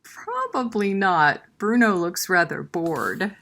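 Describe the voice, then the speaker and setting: Female story-time reader, slightly low pitch, very animated intonation, chatty asides and questions for children. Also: slow. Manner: expressive, chatty, prompting listeners with questions. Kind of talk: picture book read-aloud with asides to children.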